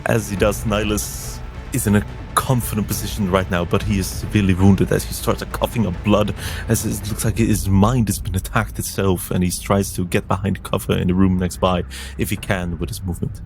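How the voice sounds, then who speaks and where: A man playing a tabletop game, narrating his character's actions haltingly with restarts. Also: low voice, level tone, creaky, over music. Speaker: player.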